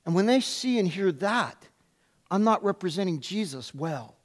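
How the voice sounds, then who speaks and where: A man preaches a sermon in a high, ringing voice, loud and emphatic. He presses his questions again and again and slips in playful asides between points. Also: lively intonation, moderate pace. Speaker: pastor.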